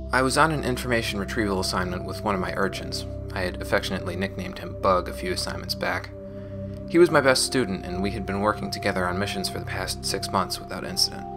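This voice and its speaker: Low-voiced man as a reflective former gang operative. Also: natural voice. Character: young former gang operative recounting painful memories. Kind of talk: scripted audio log narration. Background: music.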